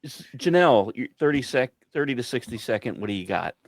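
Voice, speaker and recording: A man hosting a casual panel, halting and repeating his words as he steers the conversation. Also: mid-range voice, animated, dry recording. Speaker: host and songwriter.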